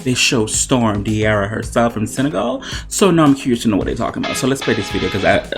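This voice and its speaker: A man's voice: medium pitch, expressive, quick pace. A man with a Jamaican accent hosting a casual reaction video, curious and laughing along. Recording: music playing behind. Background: music.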